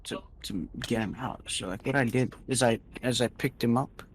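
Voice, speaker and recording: A man telling improvised stories casually, rambling and halting, often repeating his phrases. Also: mid-range voice, a little hiss or hum. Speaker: storyteller in a gaming video. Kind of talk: casual improvised storytelling while gaming.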